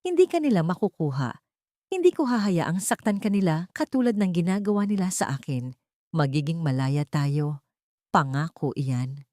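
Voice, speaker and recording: A woman's voice: on the low side, animated, normal speed, mellow, dry recording, studio quality, close to an everyday voice. A woman voicing a desperate young pregnant woman on the run, speaking in a trembling whisper. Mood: fierce whisper.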